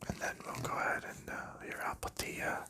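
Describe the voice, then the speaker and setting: A man doing ASMR, whispering through rambling, unhurried chat with soft laughs while he sorts board game pieces. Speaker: ASMR creator.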